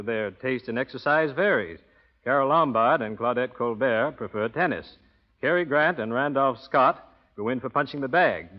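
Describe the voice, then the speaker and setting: An American man giving a scripted promotional talk as a guest expert on 1930s radio. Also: mid-range voice. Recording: muffled old radio broadcast.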